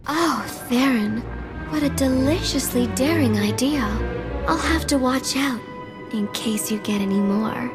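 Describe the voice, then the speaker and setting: High, coy flirt. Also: female voice, over music. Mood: flirtatious. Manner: drawn-out, coy, teasing. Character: flirtatious, playful female companion, sultry and coy. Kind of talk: video game dialogue acting.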